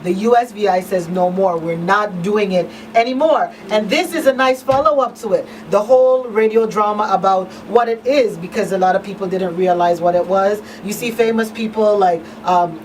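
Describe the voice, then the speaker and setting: A woman's voice: medium pitch, a little mellow. A woman hosting an informal talk show, speaking emphatically and repeating phrases for stress. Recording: slightly distant mic, faint noise.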